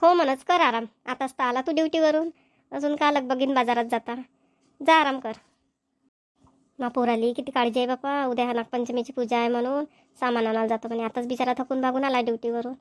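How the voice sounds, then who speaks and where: A woman's voice: on the high side, steady tone, fairly quick, dry recording, studio quality, lightly acted. A woman voicing village women in a cartoon comedy, speaking colloquial rural Vidarbha Marathi. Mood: motherly. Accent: Maharashtrian, rural Vidarbha Marathi. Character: young village women, mothers and nagging wives in a comedy.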